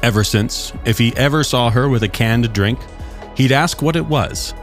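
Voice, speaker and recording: A man's voice: mid-range voice, moderate pace, soft background music. A man reading a scripted story aloud as its narrator.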